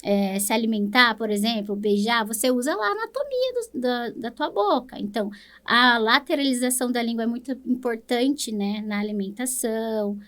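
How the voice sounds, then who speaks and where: A woman's voice: fairly high pitch, moderate pace, fairly bright tone. A woman with a Brazilian Portuguese accent, a speech therapist explaining things patiently in an interview, often checking in with a tag question. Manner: explains patiently, ends phrases with "né?".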